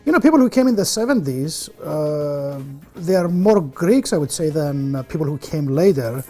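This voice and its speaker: Low, dark-voiced hesitant woman. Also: lively intonation, normal speed, soft background music. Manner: hesitant, many "uh"s. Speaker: interviewee. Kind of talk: documentary interview.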